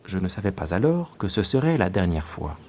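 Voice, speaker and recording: A man from South Africa giving measured, reflective scripted narration as a documentary narrator. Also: low voice, sing-song, a little mellow. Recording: voice-over with clear background noise.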